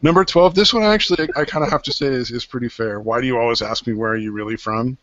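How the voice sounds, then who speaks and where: A man's voice: mid-range voice, quick pace, fairly bright tone, no room sound. A man in his thirties hosting a casual podcast chat, rambling with lots of fillers and restarts. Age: 30s. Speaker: podcast host. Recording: dry, dull lo-fi podcast audio.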